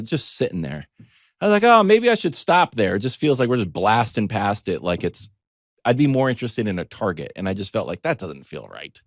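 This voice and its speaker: A middle-aged man telling a conversational story as a sports talk panelist, animated and wry, slipping into imagined dialogue. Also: lowish voice, no echo, studio quality.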